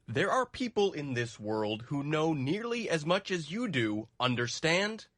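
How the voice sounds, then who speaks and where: Storybook male traveler played by a woman: fearful, suspicious, defensive, very varied pitch. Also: sing-song, unhurried, no echo, clean studio sound, exaggerated voice. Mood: bitter, suspicious. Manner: nervous, defensive.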